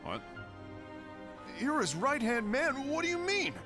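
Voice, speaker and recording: A man's voice: very high voice, wildly swooping pitch, measured pace, full character voice. A man voicing an intense, hot-tempered young leader in a fantasy video game, questioning with dramatic force. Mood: puzzled. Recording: game audio with music behind.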